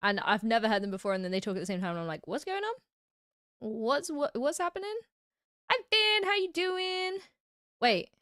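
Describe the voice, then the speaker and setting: A British woman chats on her livestream, giggling often and reading comments aloud. Her fairly high voice bounces up and down, and the sound is close and dry. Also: big pitch swings, moderate pace, fairly bright tone, clear, resonant tone, no room sound. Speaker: livestream host. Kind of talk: casual chat reacting to live comments.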